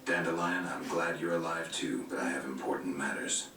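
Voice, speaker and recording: A deep gruff monster hunter, dry and terse, echoey far-off game audio with music. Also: male voice, fairly even intonation, moderate pace, bright, forceful tone, slight background noise. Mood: curt.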